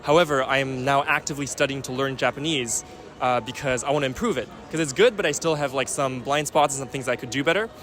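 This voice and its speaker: A man's voice: medium pitch, fast, fairly bright tone. A young man from France answering a street interviewer in an articulate, thoughtful way.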